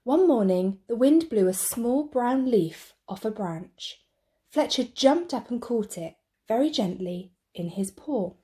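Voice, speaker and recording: A woman narrates a children's picture book with deliberate phrasing. Her voice sits fairly high and is very lively in intonation, with a soft, mellow tone. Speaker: storyteller for children.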